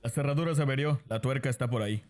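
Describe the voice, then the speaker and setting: A man's voice: mid-range voice, rapid-fire, a little mellow, a little hiss or hum, close to an everyday voice. A young man in an amateur fan dub playing a cool, unbothered teenage boy, casual, curt and dryly mocking. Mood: calm, unbothered.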